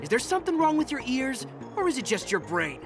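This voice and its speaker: Condescending inspector, high lively pitch, music behind. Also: male voice, brisk, heavily acted. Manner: condescending, insulting, tired. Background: game music.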